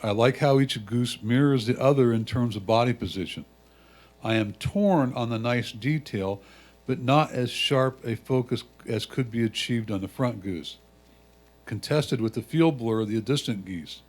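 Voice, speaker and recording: Man reading written critique, measured. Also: mid-range voice, darkish tone. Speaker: reader of judges' comments.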